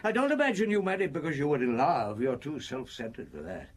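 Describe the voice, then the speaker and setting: An actor playing a shrewd middle-aged police chief in a radio drama, interrogating a suspect in an unhurried, cutting way with a husky voice. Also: male voice, medium pitch, big pitch swings. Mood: accusing.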